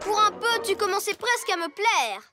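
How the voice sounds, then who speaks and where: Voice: very high-pitched, big pitch swings, normal speed. An actor voicing an arrogant, scheming boy mad scientist in a cartoon, pompous and theatrical. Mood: mocking. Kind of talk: French cartoon dub acting. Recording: music behind.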